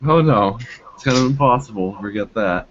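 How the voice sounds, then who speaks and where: A young man guest on a casual live podcast, joking and quick to apologize. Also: lowish voice, lively intonation. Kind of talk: casual live-stream chat. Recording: dull lo-fi audio with faint noise.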